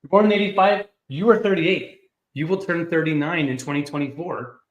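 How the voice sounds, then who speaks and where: A man's voice: fairly high pitch, brisk, roomy sound, dull audio. A man in his late thirties hosting a show over a video call, joking and upbeat. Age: 30s. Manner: jokey, upbeat, self-deprecating. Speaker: host. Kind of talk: casual video-call chat.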